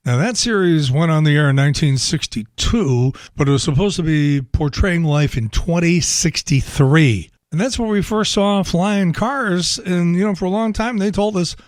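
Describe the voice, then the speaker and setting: Hoarse middle-aged host. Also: male voice, a little high, normal speed, hardly any pauses, dry recording, studio quality. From south side of Chicago. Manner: rambling, wry, chuckling asides. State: hoarse from laryngitis. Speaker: radio show host. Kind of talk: casual talk monologue.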